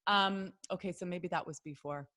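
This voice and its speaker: A woman's voice: fairly low pitch, normal speed, sharp tone. Middle-aged female health coach hosting a video-call workshop; chatty, earnest, prone to tangents. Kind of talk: informal online workshop talk.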